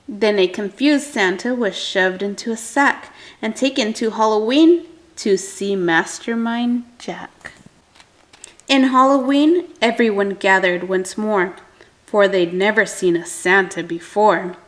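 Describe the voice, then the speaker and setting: A woman reading a picture book aloud to a young child with expressive, deliberate, dramatic phrasing. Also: medium pitch.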